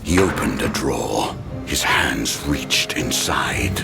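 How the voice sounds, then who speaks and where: A man delivers dramatic, scripted horror narration. His voice is extremely low and creaky, with lively movement in the pitch. It is the storyteller's voice of an audio drama. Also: takes it slowly, loud music behind the voice.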